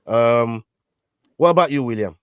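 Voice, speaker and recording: A man's voice: mid-range voice, expressive, a little slow, clean tone, no room sound. A man hosting a casual fight prediction show, leading the picks with many fillers and restarts. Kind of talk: casual betting picks chat.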